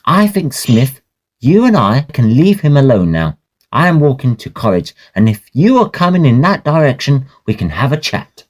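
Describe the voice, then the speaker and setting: An actor playing a breezy, sensible young Victorian gentleman in an audio drama, genteel and chatty. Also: mid-range voice, big pitch swings, normal speed. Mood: practical.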